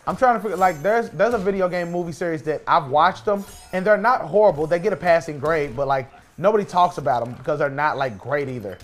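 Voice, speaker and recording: A man with a slightly high voice chats casually as a podcast co-host. He argues in an informal way, circling back and repeating his phrases to push his point across. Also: quick pace, few pauses. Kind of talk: casual chat debating movies while playing a video game.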